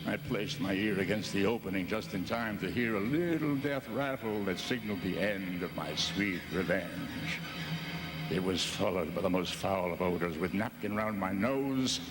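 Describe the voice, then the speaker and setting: A man's voice: sits low, lively intonation, moderate pace. An actor playing a vengeful nobleman who savors his cruelty, theatrical and sardonic, in a gothic horror audio drama. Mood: grim satisfaction. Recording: echoey, loud music behind.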